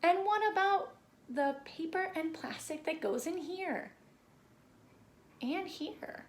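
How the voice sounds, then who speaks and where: A woman's voice: very high voice, lively intonation, takes it slowly, voice pushed up, heavily acted. A woman voicing a patient male schoolteacher in a children's storybook, explaining things and prompting with questions. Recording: roomy echo with background noise.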